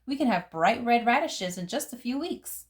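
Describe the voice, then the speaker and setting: Woman playing an eager, high-pitched mischievous school kid. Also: normal speed, close to an everyday voice. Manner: eager, excitable. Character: exuberant, mischievous second-grade child in a picture book.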